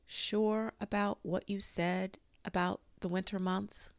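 A woman's voice: medium pitch, little pitch movement, slow pace, no echo, pitched above the performer's own voice. A woman voicing a timid, anxious little male piglet in a children's storybook, with a hesitant, nervous way of talking.

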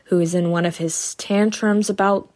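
A woman's voice: mid-range voice, fairly even intonation, normal speed, darkish tone, natural voice. A woman reading aloud, voicing a worried young housemaid who frets and sighs. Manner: worried, sighing.

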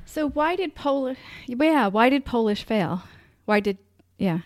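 A woman's voice: on the high side, expressive, no room sound. Curious woman hosting an interview. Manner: curious, quick follow-up questions. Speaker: podcast host. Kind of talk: casual interview chat.

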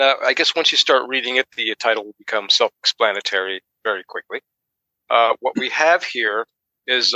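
Very bright, forceful man co-hosting. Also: mid-range voice, no echo, poor audio. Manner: tentative, informal, hedging as he explains. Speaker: podcast co-host. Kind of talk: casual book summary.

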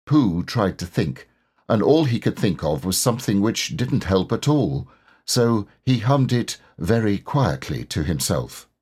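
A man's voice: fairly low pitch, sing-song, unhurried, darkish tone, slightly rough. A man reading a children's storybook aloud as an audiobook narrator.

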